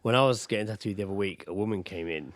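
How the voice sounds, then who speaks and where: A man's voice: lowish voice, racing, no echo, clean studio sound. An English man co-hosting a podcast, bantering casually about the news in a teasing, colloquial and sweary way. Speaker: podcast co-host. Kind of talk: casual comic chat, commenting on news.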